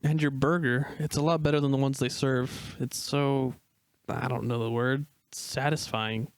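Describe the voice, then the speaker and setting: A man voicing an awkward, self-doubting young male wolf in an amateur dramatic reading, speaking with hesitant pauses. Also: medium pitch, fairly even intonation, clean tone, no room sound, natural voice. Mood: pleased. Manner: hesitant, halting, unsure.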